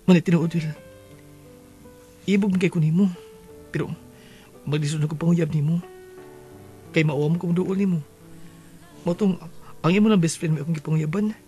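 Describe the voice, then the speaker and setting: An earnest, tender young suitor with a Cebuano Filipino accent, played by a man. He is a lovestruck high school boy in a radio drama, speaking with music underneath. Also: fairly even intonation, normal speed, pauses often, dark tone, close to an everyday voice. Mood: romantic confession. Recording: music behind the voice.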